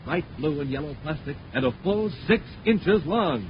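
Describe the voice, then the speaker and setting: An American man as an emphatic, showman-like 1950s radio announcer, reading scripted narration and sponsor pitches. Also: expressive, moderate pace. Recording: muffled, noisy 1950s radio recording.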